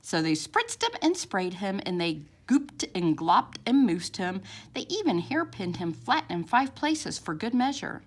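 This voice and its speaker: Animated, unhurried woman reading a children's story. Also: medium pitch. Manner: theatrical, expressive, drawn-out words and sound effects. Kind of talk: animated picture-book read-aloud for young children.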